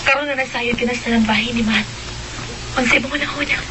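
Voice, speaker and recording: A woman's voice: a little high, steady tone, fast, noisy background, lightly acted. An actress playing a concerned woman questioning her friend in a radio drama, probing and emotive.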